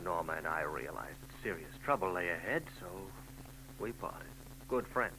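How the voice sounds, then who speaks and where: A man's voice: low-pitched, expressive, moderate pace, noisy background. A man playing a shifty, evasive man in a 1940s radio drama, stammering when pressed. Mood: wistful. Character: shifty, nervous adult man, former lover.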